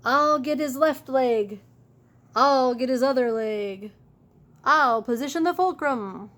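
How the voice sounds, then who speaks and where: A woman's voice: sits high, slow pace, a bit bright. A woman voicing a plucky young male truck from a storybook, urgently encouraging his team with exclamations. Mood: determined, excited.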